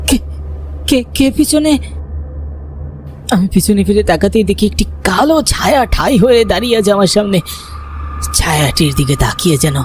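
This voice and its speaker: A man's voice: high voice, darkish tone, a little hiss or hum. A man playing a frightened young villager in a horror story, telling it in suspenseful first person and stammering when he addresses others. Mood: frightened, pleading.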